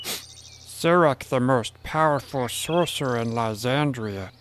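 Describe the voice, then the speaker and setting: A man's voice: mid-range voice, takes it slowly, a bit bright, slight background noise, voice pushed down. A man voicing a simple-minded, eager creature sidekick in a fantasy audio story, talking in broken grammar about itself by name. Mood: helpful, simple.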